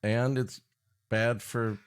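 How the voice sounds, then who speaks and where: A man's voice: medium pitch, little pitch movement, slow pace, clean tone. A man co-hosting a comedy podcast in bantering chat, riffing and often laughing at his own jokes. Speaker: podcast co-host.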